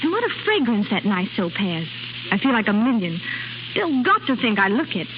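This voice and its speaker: A woman's voice: mid-range voice, sing-song, moderate pace, lightly acted. An actress playing a breezy young American woman in a 1930s radio commercial, slangy and gushing, with an American accent. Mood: elated. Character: perky young American daughter in a soap advertisement. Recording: muffled, noisy 1930s radio recording.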